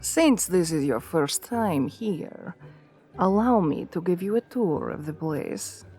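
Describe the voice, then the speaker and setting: Woman voicing a slow, regal, seductive vampire queen. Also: fairly low pitch, big pitch swings, mellow, soft background music, full character voice. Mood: gracious, probing. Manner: formal, courtly, commanding, coaxing. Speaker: ASMR roleplay performer. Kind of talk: scripted ASMR roleplay, addressing the listener.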